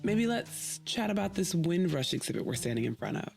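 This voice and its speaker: A woman's voice: lowish voice, sing-song, brisk, creaky, darkish tone, faint music behind. A woman hosting a podcast, narrating her story in a wry, conversational way with playful asides.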